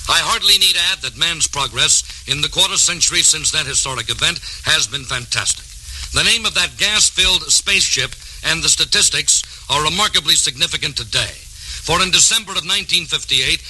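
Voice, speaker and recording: A man's voice: on the high side, expressive, moderate pace, pushed and bright, a little hiss or hum, rough recording. An American man narrating a scripted 1950s promotional documentary in a formal, dramatic announcer style. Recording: old vinyl record with music bed.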